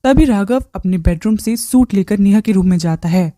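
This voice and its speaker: Mellow woman storyteller. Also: medium pitch, normal speed, no room sound, studio quality. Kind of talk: scripted Hindi moral-story narration. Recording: faint music under the voice. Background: faint background music.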